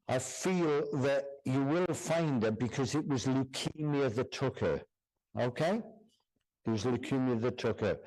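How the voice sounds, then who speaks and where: A man's voice: medium pitch, darkish tone, low-quality recording. Man giving a mediumship demonstration. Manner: repetitive, checks in often with "do you understand?". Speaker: guest medium. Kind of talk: live mediumship demonstration in a church service. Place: church.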